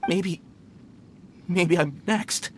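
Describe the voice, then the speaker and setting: An actor playing a fearful mine worker in a video game, anxious, halting and pleading. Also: male voice, high voice, a little hiss or hum. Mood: worried, uneasy.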